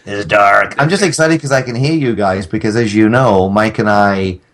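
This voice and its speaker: A man with a fairly low voice. He talks in casual comic banter, wordy and mock-grandiose, as a guest on a podcast. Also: normal speed, fairly bright tone. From England. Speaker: podcast guest, audio drama maker.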